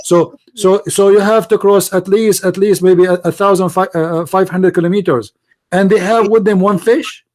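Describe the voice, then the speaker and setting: Debate host, male, Arabic accent, high pitch; insistent, repetitive, mocking. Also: fairly even intonation, normal speed, a little mellow. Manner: insistent, repeats himself, mocking. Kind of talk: heated debate.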